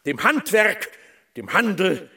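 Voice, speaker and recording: Creaky, pompous orating official. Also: male voice, high-pitched, sing-song, slow pace, bright, forceful tone, heavily acted. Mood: grandiose. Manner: pompous, declamatory. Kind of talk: German radio drama acting, public speech.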